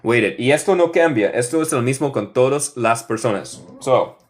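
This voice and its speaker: A young American man from the northern United States with a Midwestern accent, teaching English in Spanish as a second language on a live online class. He repeats each example sentence slowly. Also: mid-range voice, lively intonation. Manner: slow, repeats example sentences several times.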